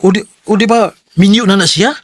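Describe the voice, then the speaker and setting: A man playing a young, animated and cautious best friend in a Cebuano radio drama, with a Cebuano accent. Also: high voice, brisk. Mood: suspicious.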